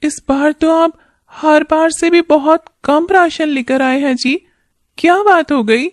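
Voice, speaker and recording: An anxious, caring village mother from a cartoon fable, put on by a woman narrator. Her voice is pitched up high and sounds plaintive and tender. The sound is dry. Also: slow, no echo. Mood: dismayed. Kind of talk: animated moral story acting.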